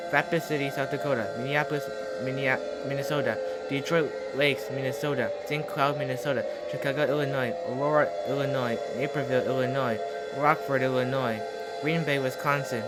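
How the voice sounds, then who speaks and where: A man delivers a scripted mock emergency broadcast in a formal, official announcer's manner. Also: mid-range voice.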